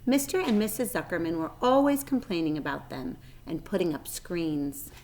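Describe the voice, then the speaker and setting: A woman reading a children's story aloud like a teacher, expressive and addressing young listeners. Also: fairly low pitch, normal speed. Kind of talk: storybook narration.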